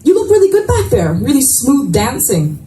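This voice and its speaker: A woman voicing a warm, teasing middle-aged mother who gushes and exclaims, in a storybook reading. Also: high-pitched, huge pitch swings, moderate pace, voice pushed up. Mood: warm, complimentary. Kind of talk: storybook character voice in live reading. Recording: echoey room, distant mic, noisy ambience.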